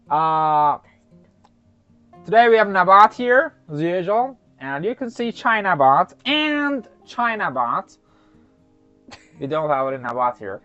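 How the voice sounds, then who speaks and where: Storyteller host, animated intonation, theatrical yet chatty, faint guitar behind, slightly lo-fi recording. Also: mid-range voice, no room sound. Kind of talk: children's story narration with casual asides. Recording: slightly dull, lo-fi microphone sound.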